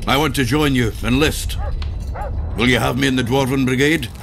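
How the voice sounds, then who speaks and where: An actor playing a loyal, hearty dwarf adventurer in a video game, talking in a plain-spoken way. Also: male voice, medium pitch, moderate pace, vocal fry, a bit bright. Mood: confident. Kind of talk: video game cutscene acting. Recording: music behind the voice.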